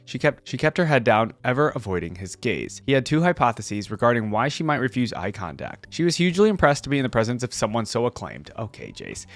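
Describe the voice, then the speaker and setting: A man's voice: medium pitch, dry recording. A man reading a story aloud for a reaction video, breaking off into informal, wry asides. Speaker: gaming reaction content creator.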